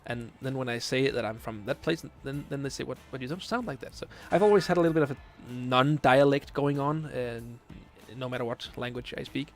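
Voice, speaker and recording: A man's voice: mid-range voice, brisk, hardly any pauses, soft background music. A man in his late twenties with a Danish accent, streaming a game late at night and chatting through viewer questions, hesitant with many fillers as he searches for English words. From Denmark. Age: 20s. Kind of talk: casual live stream chat, reading chat questions.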